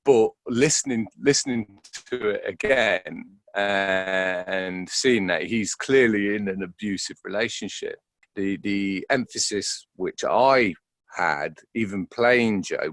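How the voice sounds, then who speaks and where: A man's voice: low voice, fairly bright tone, no echo. A man being interviewed as an actor, speaking reflectively and hesitantly, searching for words and repeating himself.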